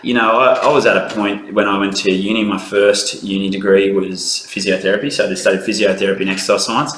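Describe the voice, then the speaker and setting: A man's voice: lowish voice, little pitch movement, fast talker, fairly bright tone. A man in his thirties with an Australian accent, a doctor talking earnestly in a casual interview, with many ums and restarts. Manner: earnest, self-deprecating, lots of ums and restarts. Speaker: guest doctor. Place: small room indoors. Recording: roomy echo, faint background hum.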